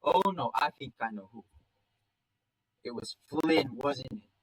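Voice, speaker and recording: A man's voice: medium pitch, a little slow, husky, low-quality recording. A man voicing a stern, domineering animal father in a story, scolding and accusatory. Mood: accusing.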